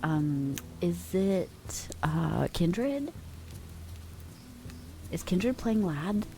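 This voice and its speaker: A young adult woman streamer chatting softly with her viewers, drifting in and out of whispers, relaxed and affectionate. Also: lowish voice, very slow, a little hiss or hum. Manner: relaxed, affectionate, reads and answers chat; drifts between soft talk and whispers. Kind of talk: soft-spoken ASMR chat with viewers.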